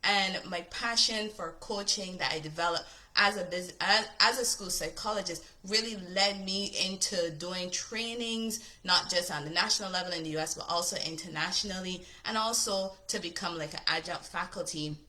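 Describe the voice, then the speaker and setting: A woman's voice: fairly low pitch, moderate pace, pushed and bright. A woman from Barbados in her thirties, a business coach, talking to camera in a chatty, upbeat way and often asking "right?". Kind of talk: casual talk to camera.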